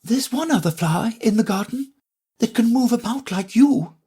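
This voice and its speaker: Man playing a prissy rose, high and cutting, severe, breathy edge. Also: lively intonation, moderate pace, dark tone, heavily acted. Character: sharp-tongued, severe female rose.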